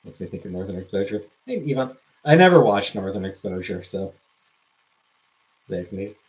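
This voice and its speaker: A man's voice: lowish voice, very animated, fairly quick, a little hiss or hum, poor audio. A man hosting a livestream, rambling and joking as he reads chat messages aloud. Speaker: livestream host. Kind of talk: casual chat.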